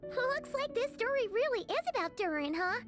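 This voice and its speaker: A woman voicing a small, excitable female sprite companion in a game, bubbly and chatty. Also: very high-pitched, normal speed. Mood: excited. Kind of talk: game cutscene voice acting. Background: game music.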